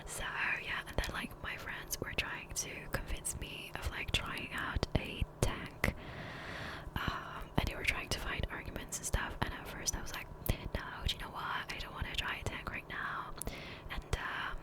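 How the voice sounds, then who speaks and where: A woman whispering softly and breathily in a casual, rambling ASMR gameplay chat, close to the microphone. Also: normal speed, poor audio. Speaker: ASMR video host. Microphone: close USB condenser microphone.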